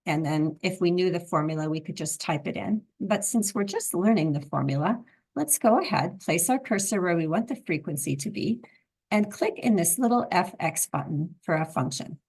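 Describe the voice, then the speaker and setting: A woman instructor with a froggy, hoarse voice, calmly explaining a software tutorial step by step. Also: lowish voice, moderate pace, low-quality recording. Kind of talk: screen-recorded software tutorial.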